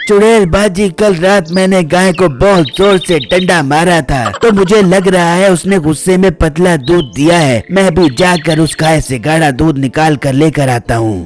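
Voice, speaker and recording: A man's voice: fairly high pitch, moderate pace, hardly any pauses, over music, lightly acted. A man voicing a scheming, boastful village milk seller in a cartoon fairy tale. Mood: nervous excuses.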